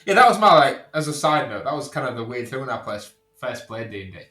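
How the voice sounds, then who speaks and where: A man's voice: medium pitch, very animated, fast talker, pushed and bright, faint music behind. A man chatting casually as a podcast guest, with a teasing, sardonic manner. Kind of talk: casual comedic chat. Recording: echoey room sound.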